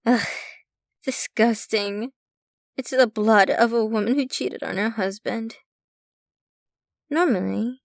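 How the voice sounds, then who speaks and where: ASMR roleplay casting: a woman as a doting, possessive vampire girlfriend, ancient and wealthy, unhurried, with a creaky edge. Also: on the high side, dry recording, studio quality. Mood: haughty. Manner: sweetly possessive, teasing, unhurried, addressing the listener intimately.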